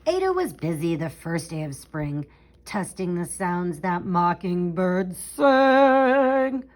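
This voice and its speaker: A woman reading a rhyming children's picture book aloud in an expressive, theatrical way, stretching out key words for emphasis. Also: mid-range voice, low-quality recording. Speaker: storybook reader.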